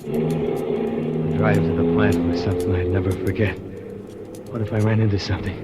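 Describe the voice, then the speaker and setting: A man's voice: low voice, fairly even intonation, heavy background noise. Jumpy young factory guy telling his story, edgy and stammery, old radio play. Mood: tense. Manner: nervous first-person narration, tense and halting. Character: jittery young male factory worker, secretive. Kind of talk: 1940s radio acting.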